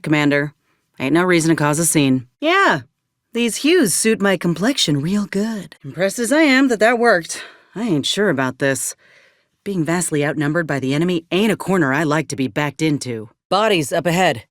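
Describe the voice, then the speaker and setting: Woman playing a folksy, wry soldier woman, lively drawl. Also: fairly low pitch, no echo, studio quality, close to an everyday voice. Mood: wry, cautious. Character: tough, wry, folksy female soldier and former combat medic companion. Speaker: companion character. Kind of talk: video game voice acting.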